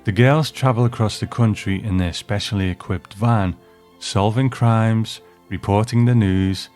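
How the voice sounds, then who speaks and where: A man's voice: lowish voice, a little slow, a little mellow, faint music behind. A man hosting a review, narrating from a script. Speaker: host.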